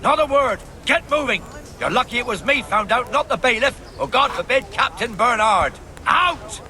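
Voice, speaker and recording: Bossy, animated medieval watchman. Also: extremely high pitch, moderate pace, slight background noise, heavily acted. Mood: furious. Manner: blunt, hectoring, swearing. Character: gruff, bossy, chatty male medieval town watchman. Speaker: voice actor in a video game. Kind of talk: dramatic game cutscene dialogue.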